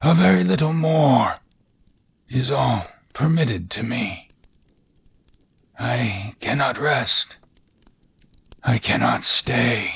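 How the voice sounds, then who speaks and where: A man voicing the wailing, chained ghost of an old miser, with a high quavering voice that rises to cries. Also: gravelly, heavily acted. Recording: dull lo-fi recording with faint hiss.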